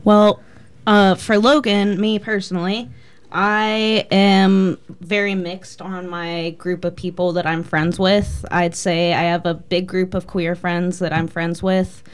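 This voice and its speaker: Slow, clear young woman. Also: fairly low pitch, little pitch movement. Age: 20s. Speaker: panel guest. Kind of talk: informal panel talk. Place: radio studio.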